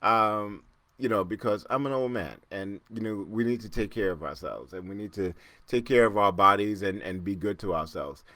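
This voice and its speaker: Low-voiced man in his fifties, rambling. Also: normal speed, bright, forceful tone, no echo. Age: 50s. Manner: rambling, self-mocking, frequent restarts and chuckles. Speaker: podcast host. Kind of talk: casual solo podcast monologue.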